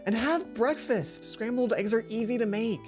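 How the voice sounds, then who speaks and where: A woman's voice: on the high side, normal speed, over music, voice pushed up, natural voice. A woman voicing an earnest, emotional, sweet schoolgirl heroine in a visual novel, in a heartfelt way. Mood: earnest, loving.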